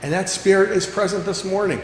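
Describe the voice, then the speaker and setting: A pastor preaching to his congregation in a big church sanctuary, his slightly higher male voice ringing out in a roomy space with a long tail of reverb. He talks earnestly, repeating phrases and slowing down to press a point. A faint hiss sits underneath. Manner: earnest, with repeated emphatic phrases and slow deliberate stresses. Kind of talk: sermon. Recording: echoey church hall with long reverb, faint background noise.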